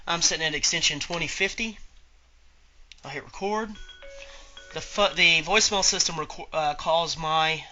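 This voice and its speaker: A man giving an informal step-by-step software tutorial as an instructor, talking through each click aloud. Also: fairly high pitch, normal speed, long pauses, a little hiss or hum, rough recording. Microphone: voice-over on a screen recording.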